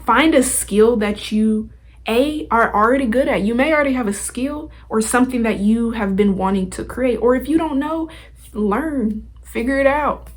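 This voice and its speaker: A woman's voice: a little high, normal speed. A woman hosting a podcast, giving a casual motivational talk straight to listeners in an informal, slangy, emphatic way. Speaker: podcast host.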